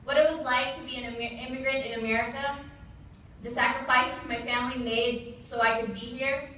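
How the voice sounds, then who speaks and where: A young woman from the Philippines, a Tagalog speaker, gives a measured prepared speech as an Army officer. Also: fairly high pitch, fairly even intonation, bright, forceful tone. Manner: measured, reads from a prepared text. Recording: echoey hall, distant mic, faint room noise.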